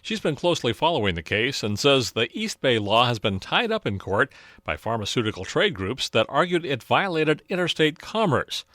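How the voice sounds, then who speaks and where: An American man delivers scripted radio news as a reporter. His voice sits at an ordinary male pitch, recorded very dry with no room around it. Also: big pitch swings, normal speed, fairly bright tone, dry recording.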